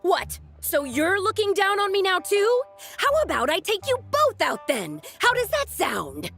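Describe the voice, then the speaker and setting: Game braggart: high, animated young woman, declamatory, pompous, quick to take offence. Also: vocal fry, faint music behind, heavily acted. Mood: angry, challenging. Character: boastful, hot-tempered young woman, self-proclaimed famous archaeologist. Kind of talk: video game cutscene acting.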